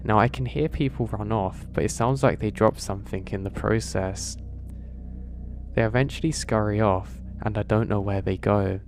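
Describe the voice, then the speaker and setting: A man narrating first-person horror stories in a steady, even storytelling read. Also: lowish voice, normal speed. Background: background music.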